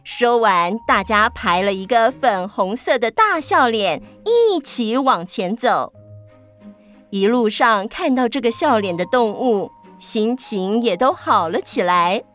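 A woman's voice: fairly high pitch, sing-song, slow, fairly bright tone, faint music behind. A woman narrating a scripted story for children.

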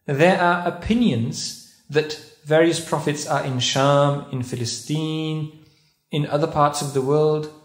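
A man's voice: fairly high pitch, fairly even intonation, takes it slowly. A man giving a sermon-style lecture at a mosque, putting questions to the audience and reciting Arabic verses. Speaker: visiting speaker at a mosque conference. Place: carpeted mosque prayer hall, indoors. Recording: echoey mosque hall.

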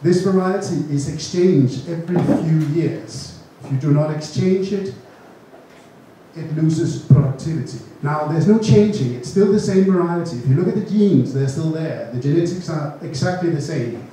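Middle-aged Danish speaker at a seminar, higher-pitched, heard far off in a noisy, reverberant room. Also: male voice, moderate pace, dark tone. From Denmark. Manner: informal, self-correcting, with handheld mic. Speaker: gene bank speaker. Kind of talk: seminar talk to an audience. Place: large room with seated audience. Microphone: handheld mic, far camera. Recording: echoey room recorded from afar.

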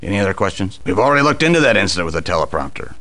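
A deep-voiced, forceful male studio boss from a video game. He is harried and impatient, answering in a brusque, businesslike way. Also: very animated, racing, full character voice. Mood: brusque, defensive.

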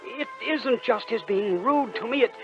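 A man's voice: very high voice, moderate pace. An actor playing a naive, lovesick young man in a radio drama, earnest, hesitant and stammering. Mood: flustered, earnest. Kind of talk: old-time radio drama acting. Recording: dull vintage radio recording with music.

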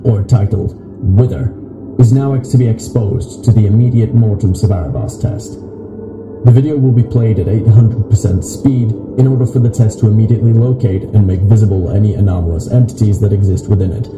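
Male narrator for analog horror, lower-pitched, clinical announcement style, echo-heavy sound. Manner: formal, clinical delivery. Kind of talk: scripted horror film narration. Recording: echoey narration over music. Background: music.

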